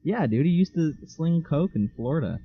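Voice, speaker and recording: A young man co-hosting a casual podcast, joking sarcastically and deadpan. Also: on the high side, moderate pace, husky. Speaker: podcast co-host. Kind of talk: casual chat. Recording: dull lo-fi home mic.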